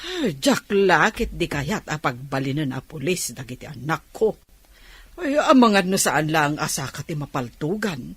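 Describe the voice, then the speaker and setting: Woman playing a husky, animated, fretful older woman. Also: sits low, unhurried, no room sound, heavily acted. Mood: worried, fussing. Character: fretful older mother or aunt, protective, fussing. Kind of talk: radio comedy drama acting.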